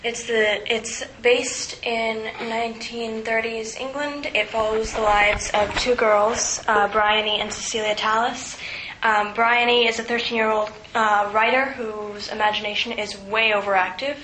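A woman's voice: fairly high pitch, level tone, unhurried, pushed and bright. A teenage girl talking casually and off the top of her head about books. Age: teen. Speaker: teen book reviewer. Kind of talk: off-the-cuff casual talk. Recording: echoey room, faint noise.

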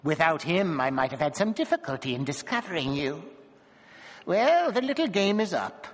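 A tiny male circus dwarf, cold and vengeful, speaking in a slow, measured and grim way, voiced by a man. The voice sits fairly high and carries a long reverb, like a storybook read aloud in a large space. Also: big pitch swings.

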